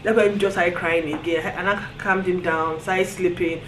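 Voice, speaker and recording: A woman with a Nigerian accent telling a story on her vlog in an animated way, often breaking into laughter. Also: on the low side, normal speed, noisy background. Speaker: vlogger. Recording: roomy echo, faint ambience.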